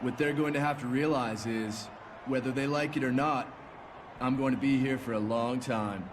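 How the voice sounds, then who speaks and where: Man as a defiant rookie champion, middle pitch. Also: fairly even intonation, normal speed, close to an everyday voice. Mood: earnest resolve. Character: determined, defiant young rookie champion wrestler in a video game. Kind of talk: video game cutscene acting. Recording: clearly noisy background ambience.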